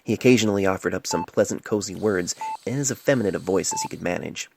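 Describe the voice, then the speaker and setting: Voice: low-pitched, quick pace, vocal fry, background noise. An amateur narrator reading a story dramatically into a cell phone. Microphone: cell phone mic. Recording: amateur phone recording with music behind.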